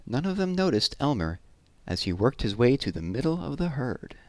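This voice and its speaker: A man in his thirties reading a children's story aloud expressively, with deliberate pauses and drawn-out words, on a headset mic. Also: mid-range voice, sing-song, darkish tone, no echo. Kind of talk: children's read-aloud narration. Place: small room at home, indoors.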